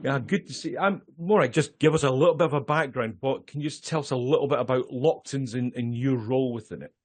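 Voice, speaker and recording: A man with a voice of middle pitch hosts the interview. His questions come out friendly and a bit halting. His tone stays relaxed and conversational. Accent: non-Australian. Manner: friendly and halting, with fillers and restarts. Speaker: host. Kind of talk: casual interview hosting.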